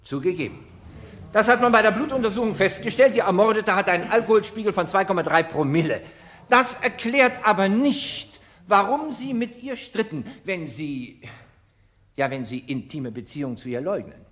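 An actor playing a haughty, sarcastic public prosecutor in a radio crime play, speaking with biting irony and pointed rhetorical pauses. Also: male voice, sits high, lively intonation, a bit bright. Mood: accusing. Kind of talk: German radio crime play acting, courtroom oratory.

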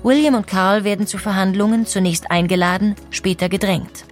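A woman narrating a history documentary in a clear, composed storytelling manner. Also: mid-range voice, steady tone, a little fast, faint music behind.

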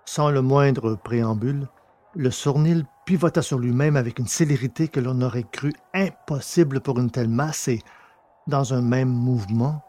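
Slightly husky male audiobook narrator. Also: medium pitch, moderate pace, warm tone. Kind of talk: scripted dramatic narration of a science-fiction adventure.

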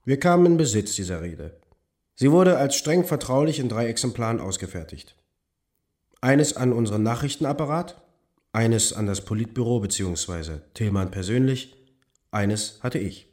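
An actor playing a communist intelligence agent giving matter-of-fact testimony in a radio documentary drama. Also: male voice, medium pitch, lively intonation, moderate pace, a little mellow, close to an everyday voice. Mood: factual, confiding.

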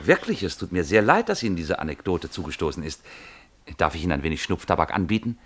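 An officious newspaper clerk in a radio play, with a low voice and very lively intonation. He is wordy, condescending and blandly tactless. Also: fast talker, heavily acted. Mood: falsely sympathetic. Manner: wordy, condescending, smoothly unhelpful. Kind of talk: theatrical German radio drama acting.

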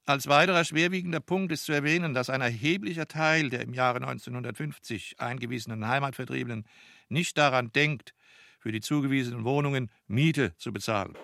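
Dry close-recorded man reading formally, creaky. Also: mid-range voice, brisk, pushed and bright, no echo. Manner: official, matter-of-fact. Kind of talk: formal read-out report.

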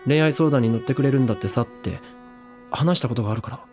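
A man's voice: mid-range voice, quick pace, a little mellow, soft background music, lightly acted. A man voicing a brash teenage boy who talks in a laid-back, slangy way. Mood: easygoing. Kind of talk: anime drama CD acting.

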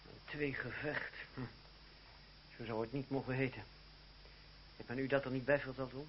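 A man's voice: mid-range voice, steady tone, moderate pace, close to an everyday voice. A man acting in an old radio play as a bitter, defiant young man grieving his friend, with theatrical, emphatic delivery. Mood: controlled, reproachful. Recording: muffled, noisy old radio recording.

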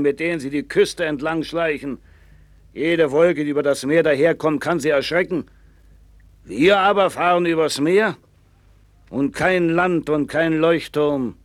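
An actor playing a proud, knowing seafarer in a 1960s German radio play, with a musing storyteller's manner. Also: male voice, fairly high pitch, no echo, close to an everyday voice. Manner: slow, musing, storytelling, with swings from boastful to confiding.

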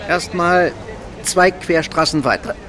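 A man's voice: sits high, slow, a crowd in the background. An actor playing an unsure, smitten middle-aged man, hesitant and brooding, in a radio drama. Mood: hesitant, curious. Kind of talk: modern German radio drama acting.

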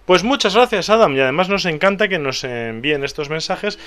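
A man's voice: a little high, lively intonation, a little fast, fairly bright tone. A man co-hosting a casual tech podcast, reading listener mail in a loose, chatty way full of fillers and restarts. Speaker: podcast co-host.

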